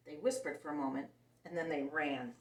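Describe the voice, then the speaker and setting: A woman reading a picture book aloud to young children in an animated, expressive way. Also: low voice, a little fast, far from the mic. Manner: animated and expressive, voicing characters. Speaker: children's story reader. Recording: roomy sound, set back from the mic.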